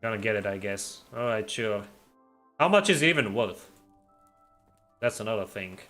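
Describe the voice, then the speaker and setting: A man's voice: on the low side, normal speed, soft background music. Man with throaty R sounds. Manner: relaxed, reads and answers chat while playing. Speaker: streamer playing and chatting. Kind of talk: casual livestream chat.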